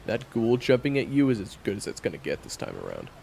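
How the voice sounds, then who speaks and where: A man's voice: on the low side, huge pitch swings, fast, vocal fry, a little mellow, slight background noise, exaggerated voice. A man playing a bitter, blunt monster hunter who talks in weary slang in an audio roleplay drama. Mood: irritated, gruff.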